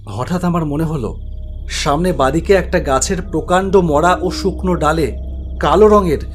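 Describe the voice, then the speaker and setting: A man's voice: on the high side, normal speed, faint music behind. A man narrating a scripted spooky story in a dramatic, suspenseful way.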